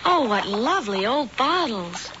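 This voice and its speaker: A woman's voice: fairly high pitch, big pitch swings, measured pace. A sweet curious young woman. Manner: polite, eager. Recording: lo-fi old radio drama, loud hiss.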